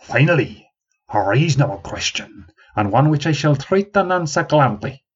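A stubborn old man holding court in a tavern, as a male narrator puts him on, full of bluster and grand proclamations, dropping ye and aye as he goes. His husky voice is pushed higher and louder than the plain telling, and takes on a different colour from it. The recording is dull and lo-fi. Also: lively intonation, moderate pace. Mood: proclaiming.

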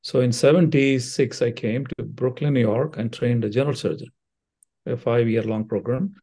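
An older man with an Indian accent explains things in a measured, unhurried way, often pausing on fillers and restarting phrases. His voice has a gravelly, fry-laden edge and a mellow, dark tone. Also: medium pitch. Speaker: cardiothoracic surgeon, guest. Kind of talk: interview answers, explanatory.

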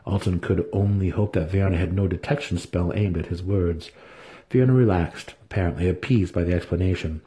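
Low, mellow male fantasy narrator. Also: brisk. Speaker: audiobook reader. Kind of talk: dramatic reading of fantasy fiction.

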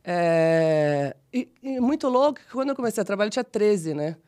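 A woman in her forties from São Paulo with a Brazilian São Paulo accent, telling her life story as a podcast guest in a chatty, animated, self-deprecating way. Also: mid-range voice, normal speed. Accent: São Paulo Brazilian Portuguese. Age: 40s. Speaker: guest, model and actress. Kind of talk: casual interview storytelling.

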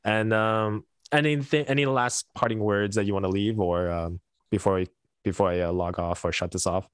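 A man's voice: fairly low pitch, steady tone, normal speed, smooth, no room sound, clean studio sound. Laid-back young Canadian man hosting a podcast. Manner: chatty, laughs often, many restarts. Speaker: podcast host. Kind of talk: casual chat. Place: Montreal.

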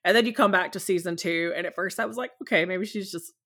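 A young woman hosting a podcast call, chatting and telling stories with animated, self-deprecating energy. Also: lowish voice, fast talker, husky. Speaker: podcast host. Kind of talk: casual chat over a call.

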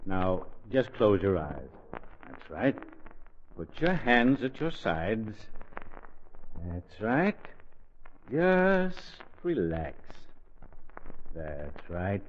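An actor in a 1940s radio comedy playing an absent-minded, probing doctor who repeats himself soothingly. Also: male voice, fairly low pitch, very animated, extremely slow, long pauses, a little hiss or hum, heavily acted. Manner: hesitant, repetitive, professionally soothing. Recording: dull, lo-fi 1940s radio recording.

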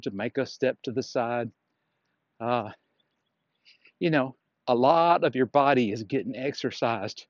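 A man's voice: mid-range voice, a little mellow, no echo, lo-fi sound. A man talking casually on a solo podcast as a fitness instructor, explaining things in a plainspoken, frank manner.

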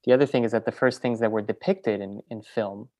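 A woman filmmaker and teacher, in a relaxed interview, who talks in loose, rambling sentences full of fillers and restarts. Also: very deep voice, fairly quick. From French Venezuelan, raised in New York. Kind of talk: casual interview conversation.

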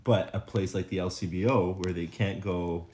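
A man's voice: deep voice, fairly even intonation, normal speed, a little mellow, a little hiss or hum. A man co-hosting a casual podcast chat, rambling and hesitant with many restarts. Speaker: podcast co-host.

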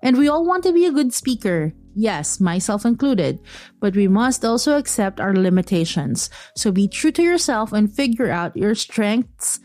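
Lively Filipino woman giving podcast tips. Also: medium pitch, moderate pace, no room sound, faint music behind. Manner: lively, teasing and direct. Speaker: podcast host. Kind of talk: giving tips, mixing English and Tagalog.

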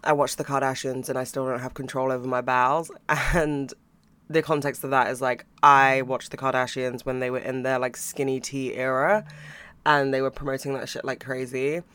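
A young man hosting a podcast, chatting casually about books and TV, swearing freely and laughing now and then, his voice a bit croaky. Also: mid-range voice, level tone, normal speed, bright, forceful tone. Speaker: podcast host.

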